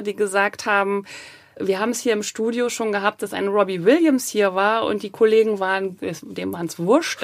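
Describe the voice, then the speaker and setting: A woman's voice: on the high side, no room sound. A woman speaking as a podcast guest, casually telling personal anecdotes. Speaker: podcast guest, audio drama publicist. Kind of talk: casual chat, anecdotal storytelling.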